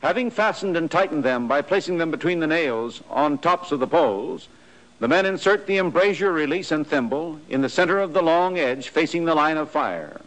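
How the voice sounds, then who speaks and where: Male, American, slightly high voice; formal, matter-of-fact instructional narration in 1940s training-film style. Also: expressive, moderate pace, a bit bright, poor audio.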